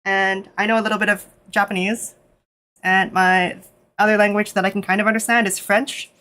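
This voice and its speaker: Nervous Canadian woman, casual streamer, heavy on fillers, mid-range voice, halting in Japanese. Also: mid-range voice, flat delivery, pushed and bright. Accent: Canadian English. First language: English. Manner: nervous, lots of fillers, switches between English and halting Japanese. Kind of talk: casual live stream chat.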